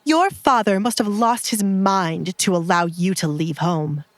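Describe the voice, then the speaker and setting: A woman's voice: mid-range voice, a little slow, no echo, natural voice. A woman voicing a haughty, middle-aged noblewoman, a cruel stepmother who scolds with cutting contempt in an audio drama. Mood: scornful anger.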